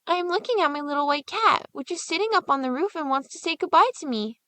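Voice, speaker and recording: A woman voicing a brave young boy, a gentle older brother who speaks in a soft, earnest, soothing way. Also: high-pitched, animated, fast, no echo, pitched above the performer's own voice. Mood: innocent.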